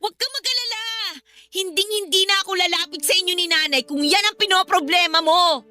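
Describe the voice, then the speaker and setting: A hurt, stubborn younger sister in a Filipino radio drama. Her very high, bright voice turns to sulky, defensive retorts. Light music runs underneath. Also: a little fast. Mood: hurt, defiant.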